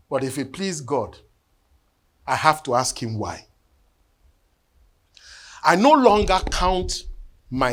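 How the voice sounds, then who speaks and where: A man, preaching a sermon and telling a personal testimony in an earnest storytelling way that often sinks to hushed tones. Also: mid-range voice. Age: 50s. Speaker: bishop.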